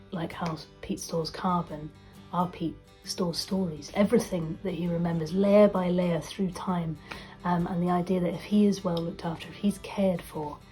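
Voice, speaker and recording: A woman's voice: lowish voice, warm tone, low-quality recording. A woman giving reflective interview talk, thoughtfully searching for her words. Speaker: audio drama team member. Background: plucked guitar music.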